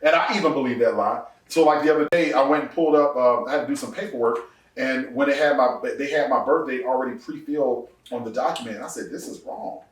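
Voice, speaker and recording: A man in his forties, a pastor, telling his testimony in a casual podcast interview, laughing often and jumping up in pitch for punchlines. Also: quick pace, far from the mic. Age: 40s. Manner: animated storyteller, laughs often, rises sharply for punchlines. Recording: echoey room, voice off-mic.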